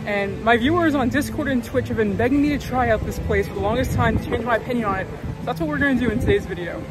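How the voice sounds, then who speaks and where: A man's voice: very high-pitched, quick pace, rough recording. A young man in a casual food-review vlog, chatty and frank, often saying "like" and "literally", filmed outdoors at a theme park. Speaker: vlogger.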